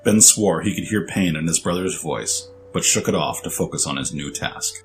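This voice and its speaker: Very deep-voiced male storyteller. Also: moderate pace, faint music behind. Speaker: narrator. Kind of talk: scripted dramatic narration.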